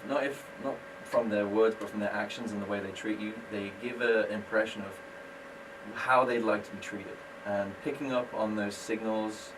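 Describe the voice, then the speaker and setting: A man's voice: lowish voice, distant mic, noisy background. A British man, a business-owner guest on a radio talk show, explaining his work in a friendly, self-deprecating way. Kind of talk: casual radio interview.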